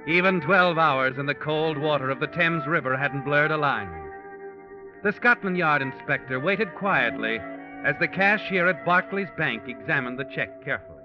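A male actor plays a grave, all-knowing storyteller of crime in a 1940s radio mystery. The male voice sits a little high and moves with deliberate drama. Strong music runs behind it on a muffled old recording. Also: lightly acted. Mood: grave, ominous. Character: ominous omniscient male narrator of a crime mystery.

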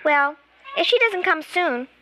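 A woman's voice: very high-pitched, animated, measured pace, bright, forceful tone, slight background noise, full character voice. A woman playing a plucky, inquisitive little girl in an old-time radio suspense drama, with artless, hesitant childlike phrasing. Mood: feigned innocence.

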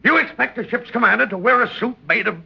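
An actor in an old radio drama playing a huge, pompous, tyrannical ship's captain who gives commands with menace. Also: male voice, very high-pitched, quick pace, creaky, breathy edge, exaggerated voice. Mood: indignant. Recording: noisy, muffled old radio recording.

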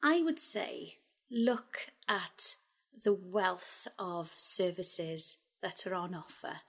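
A woman, a radio station editor, speaking as an interview guest in an upbeat, energetic way with frequent fillers. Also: fairly low pitch, takes it slowly. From Wales.

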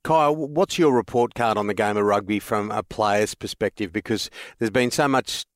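A man hosting a sports podcast, asking chatty questions in a relaxed way. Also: lowish voice, moderate pace, dry recording, studio quality. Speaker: podcast host. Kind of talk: casual interviewing.

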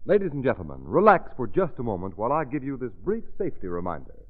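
A man's voice: medium pitch, huge pitch swings, quick pace, loud music behind the voice. A man reading a scripted sponsor announcement as an old-time radio announcer. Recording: muffled, narrow-band old radio recording.

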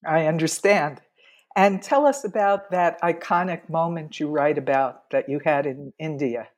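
A woman hosting an interview podcast, introducing her guest in a clear, measured way. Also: low-pitched, a little mellow. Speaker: podcast host.

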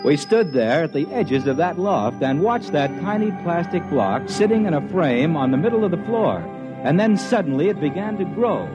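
Wry, animated radio-drama narrator. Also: male voice, on the high side, moderate pace. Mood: wry, storytelling. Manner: dry, wry, hesitant interjections. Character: wry, skeptical male narrator drawn into a scheme. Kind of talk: first-person old-time radio storytelling. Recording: muffled old radio recording. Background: music.

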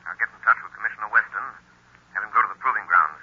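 An actor playing a grave, resolute crime fighter in a 1930s radio drama, speaking with husky, commanding authority. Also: male voice, fairly low pitch, racing. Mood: urgent. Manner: authoritative, pressing, declamatory. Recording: dull vintage 1930s radio recording.

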